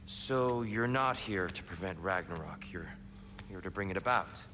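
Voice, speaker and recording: An actor playing an old god disguised as a war god, speaking gently and courteously in a video game cutscene. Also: male voice, sits low. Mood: soft, courteous. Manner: gentle, measured, apologetic. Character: elderly god disguised as a war god, soft-spoken. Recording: game audio with steady background noise.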